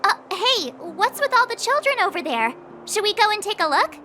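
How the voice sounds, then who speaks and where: A woman's voice: extremely high pitch, very animated, moderate pace, bright, forceful tone, soft background music, exaggerated voice. A woman voicing a perky, curious young girl adventurer in a video game, bubbly, casual and outspoken. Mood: eager, curious.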